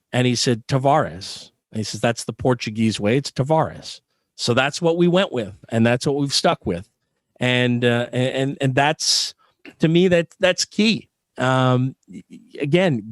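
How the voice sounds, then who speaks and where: A man's voice: on the high side, no echo, studio quality. A Canadian man answering as a guest in a conversational interview, earnest and emphatic, repeating phrases for stress. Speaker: sports arena PA announcer being interviewed.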